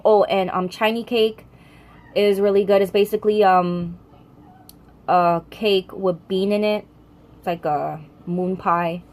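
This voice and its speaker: A young American woman talks casually to her camera at a middling pitch. She rambles, restarts her sentences and fixes her own words as she goes. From United States. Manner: rambling, chatty, frequent self-corrections. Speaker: vlogger.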